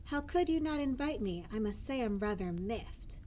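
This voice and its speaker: Storybook villain: haughty, spiteful fairy, acted by a woman, high and slow, indignant, with noise behind. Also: animated, dark tone, natural voice.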